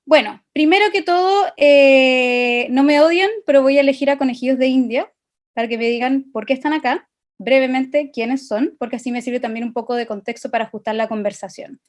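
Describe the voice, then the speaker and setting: A woman's voice: sits high, a little fast. A woman with a Chilean Spanish accent giving an informal, friendly and chatty online class to participants on a video call. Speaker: presenter of an online masterclass. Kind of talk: informal interactive online lecture.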